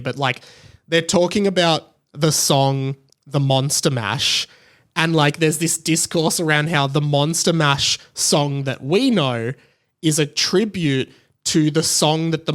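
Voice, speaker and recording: Aussie guy podcasting on his own, slightly high voice, rambly casual chat. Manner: rambling, conversational. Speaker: podcast host. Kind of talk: casual solo podcast chat about TV shows.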